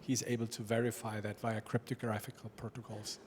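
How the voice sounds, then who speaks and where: A man on a conference panel, giving measured explanatory answers with frequent fillers. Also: fairly low pitch, flat delivery, slight background noise. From Europe. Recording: reverberant conference hall with faint room ambience.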